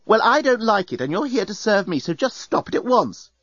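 A man's voice: on the high side, wildly swooping pitch, brisk, a bit bright, dry recording, highs cut off, pitched above the performer's own voice, heavily acted. A man voicing a prim, cross woman who speaks in a firm, formal, scolding way in an audiobook story.

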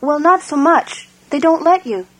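Storybook child: small boy, high voice, kind, dreamy, earnest and halting. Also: animated, a bit bright, exaggerated voice. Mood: earnest, hesitant.

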